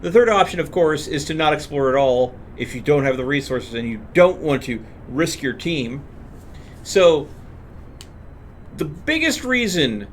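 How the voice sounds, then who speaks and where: A man's voice: on the high side, a little hiss or hum. A man streaming a video game, explaining what happens on screen as he plays. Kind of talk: live gameplay commentary, explanatory.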